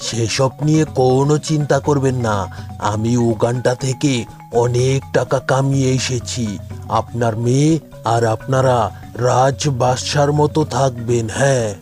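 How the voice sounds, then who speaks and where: A man's voice: mid-range voice, steady tone, unhurried, a little mellow, natural voice. A man voicing a boastful rich thug suitor in a cartoon, ingratiating and swaggering. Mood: pleased, boastful. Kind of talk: cartoon dialogue dubbing. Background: music.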